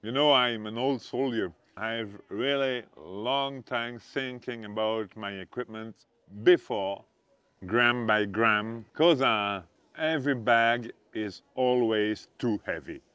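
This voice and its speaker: A man from Belgium, a pilgrim, searching for words in slow, broken phrases outdoors on a lapel mic. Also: medium pitch, a bit bright, smooth, dry recording. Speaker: interviewee, pilgrim. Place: outdoors sitting by a stone wall.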